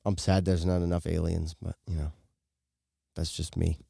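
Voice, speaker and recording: Deep-voiced laid-back American man. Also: little pitch movement, moderate pace, creaky, mellow, breathy edge, no echo, clean studio sound. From western United States. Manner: laid-back, rambling, slangy. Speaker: podcast co-host. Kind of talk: casual chat.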